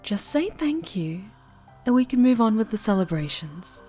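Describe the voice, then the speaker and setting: A woman playing a sinister, obsessively doting dark-angel superhero in an intimate ASMR roleplay, cooing and whispering with sweet menace. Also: mid-range voice, big pitch swings, normal speed, slightly rough, soft background music. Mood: cooing, condescending.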